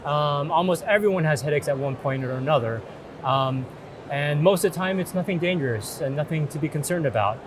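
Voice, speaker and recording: A man's voice: fairly high pitch, fairly quick. A middle-aged man speaking as a doctor in a reassuring, explanatory consultation. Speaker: doctor in a mock patient consultation. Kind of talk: conversational clinical consultation. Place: open booth in large indoor exhibition hall. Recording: noisy trade show hall background.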